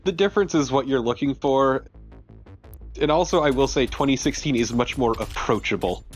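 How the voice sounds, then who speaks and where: Blunt sweary guy, mid pitched, casual game commentary w faint music. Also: normal speed, slightly rough. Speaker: let's play co-commentator. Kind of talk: casual chat.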